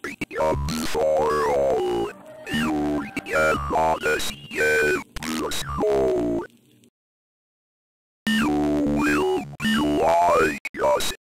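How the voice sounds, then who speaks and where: An ominous supernatural creature of the snow, speaks in a horror broadcast drama. The voice is hugely deep and smooth, dragging out each word with menace. Faint music sits behind it. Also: male voice, level tone, a bit bright, full character voice. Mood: menacing. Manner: drawn-out, ominous delivery. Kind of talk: horror emergency-broadcast fiction.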